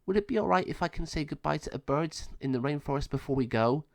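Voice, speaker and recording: A sweet young girl from a children's adventure storybook, played by a man. The voice is lifted high and speaks in an earnest, affectionate way. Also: fast talker, vocal fry, darkish tone, no room sound, studio quality. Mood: cheerful, sweet.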